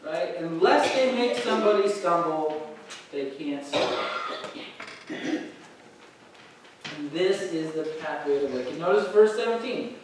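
A man's voice: a little high, expressive, measured pace, pauses often, slight background noise. Emphatic man preaching in an echoey hall. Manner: emphatic, conversational, with frequent "right?" checks. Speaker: pastor. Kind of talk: sermon. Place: church hall with pulpit. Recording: very echoey hall, heard from a distance.

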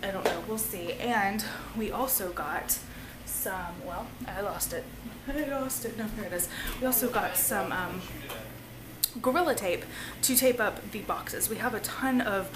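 A young woman, chatting casually to a handheld camera at home at night, loud and laughing often. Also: medium pitch, a little slow, background noise. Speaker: family vlogger. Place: home kitchen and living room at night. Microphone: handheld camera at arm's length.

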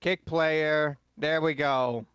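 A man chatting while gaming, excitable and quick to swear and shout. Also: on the high side, clean tone, dry recording. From Peru. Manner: excitable, swears, yells in bursts. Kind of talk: casual gameplay chat. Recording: loud game noise behind.

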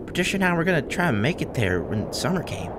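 Loud outdoor noise surrounds a man acting as an embittered, grieving survivor in a comic dub. His voice sits a little high and is full of emotion. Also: big pitch swings, fast. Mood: weary. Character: bitter widowed survivor, raw with grief. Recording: loud outdoor ambience behind voice.

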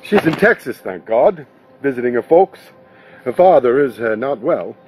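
A man voicing an affable, wisecracking musician in a storybook, breezy and folksy, with a husky voice. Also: mid-range voice, lively intonation, normal speed, darkish tone, faint music behind, natural voice, rough recording. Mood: wry. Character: affable, wisecracking male banjo entertainer, eager to help.

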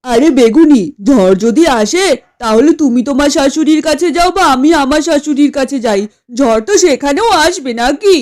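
A woman's voice: high voice, animated, normal speed, few pauses, a little mellow. A woman voicing an eager, fussy husband and small businessman in a comedy cartoon, speaking emphatically. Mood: exasperated. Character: eager, easygoing husband and lungi-selling businessman. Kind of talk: exaggerated comedy cartoon acting.

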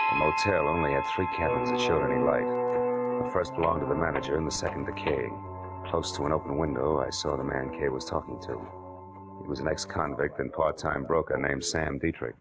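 An actor playing a hard-boiled, wry private detective, clipped and dry, in 1940s radio crime style. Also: male voice, extremely low pitch, normal speed, low-quality recording. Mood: dry, tense narration. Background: dramatic music.

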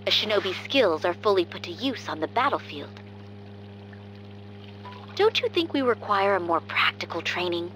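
Proper young warrior lady in a game, gracious, lilting, soft music behind. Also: fairly low pitch, sing-song, moderate pace, long pauses, poor audio. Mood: earnest, polite. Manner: formal, gracious. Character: polite, earnest, refined young noblewoman warrior. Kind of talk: video game voice acting.

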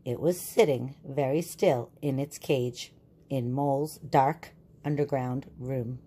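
A woman reading a children's picture book aloud and explaining it in a deliberate, teacherly way. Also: very deep voice, fairly even intonation, dark tone, breathy edge.

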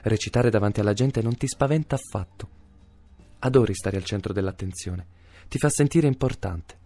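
Radio drama: male actor as a personified courage, reproachful and intimate letter monologue, low pitch, relaxed mellow tone. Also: moderate pace, no room sound, soft background music. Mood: reproachful, earnest. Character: a young man's own courage, reproachful, intimate second-person letter writer. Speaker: narrator reading letters.

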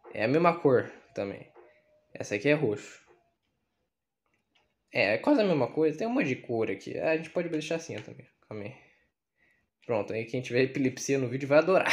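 A young man with a Brazilian Portuguese accent, chatting casually and self-deprecatingly while filming a tour of his bedroom on a handheld phone. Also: medium pitch. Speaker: youtuber. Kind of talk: casual room tour vlog. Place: small bedroom with red LED light. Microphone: phone camera held in hand.

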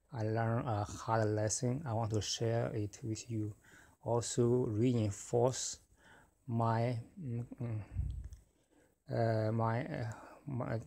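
A middle-aged man whose first language is Chinese, talking casually and haltingly about his trading, with many fillers and restarts. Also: mid-range voice, darkish tone. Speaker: forex trader. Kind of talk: casual screen-recorded trading talk.

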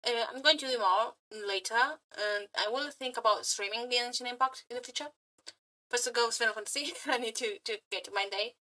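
A woman streamer chatting casually to viewers in a gushing, flirty fangirl manner, halting and repeating her words as she goes. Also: fairly high pitch, pushed and bright, poor audio. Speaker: aspiring VTuber and streamer. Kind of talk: casual reaction chat to viewers.

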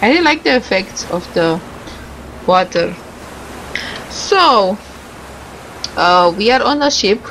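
A woman's voice: medium pitch, expressive, takes it slowly, pauses often, a bit bright, slight background noise, rough recording. A woman doing casual game commentary, reading a visual novel's dialogue aloud and stumbling over some words with self-corrections and asides. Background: music.

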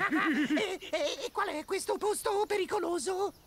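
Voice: very high voice, slow pace, a bit bright, a little hiss or hum, exaggerated voice. A performer voicing a dim, eager marten henchman in a cartoon, giggly and stammering. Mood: gleeful. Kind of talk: animated cartoon dubbing.

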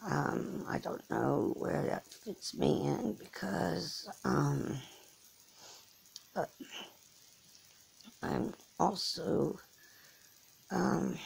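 Slow raspy gravelly middle-aged woman. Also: on the low side, darkish tone, poor audio. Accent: English with some American Southern. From England, Norfolk. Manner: slow, halting, many restarts and fillers. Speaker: vlogger. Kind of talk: rambling personal monologue. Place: lying in bed indoors. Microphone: phone held close above the face.

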